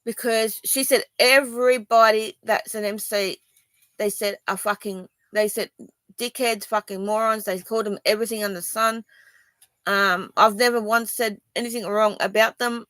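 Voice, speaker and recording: A woman's voice: a little high, a bit bright, no echo. An Australian woman from Sydney speaking to viewers in a personal video, rambling and defensive, with many ums and restarts. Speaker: vlogger.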